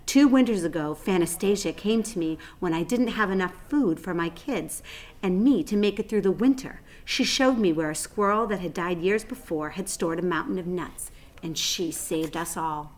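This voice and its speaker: A woman voicing an excitable, motherly female animal in a children's storybook, exclaiming and repeating phrases for emphasis. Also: on the low side, normal speed, natural voice. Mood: earnest. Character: Lady Sue, excitable scolding motherly female tree-climbing animal. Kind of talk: children's storybook read-aloud.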